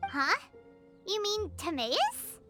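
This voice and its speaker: A woman voicing a tiny, cheeky, opinionated fairy companion in a video game, with a squeaky childlike voice and a blunt, chatty manner. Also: huge pitch swings, takes it slowly, exaggerated voice. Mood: curious. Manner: third-person self-reference, blunt, chatty. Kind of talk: video game character acting. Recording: loud children's music behind. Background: tinkling children's music.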